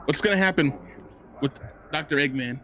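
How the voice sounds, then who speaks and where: A young man chatting casually as he reacts to a movie, excitable and fannish, swearing freely, close on a podcast mic. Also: a little high, moderate pace. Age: young adult. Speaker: reaction show host. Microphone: close boom-arm podcast mic.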